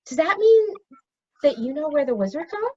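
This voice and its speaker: A woman's voice: high voice, big pitch swings, moderate pace, darkish tone, lo-fi sound, pitched above the performer's own voice. A woman voicing a blunt, sceptical female storybook character who questions people directly. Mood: demanding.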